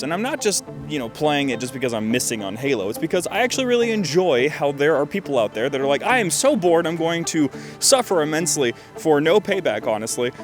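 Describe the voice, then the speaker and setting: A man giving casual, rambling video commentary as he reviews games, with dry joking asides and frequent self-interruptions. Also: medium pitch. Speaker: video creator reviewing fan-made games.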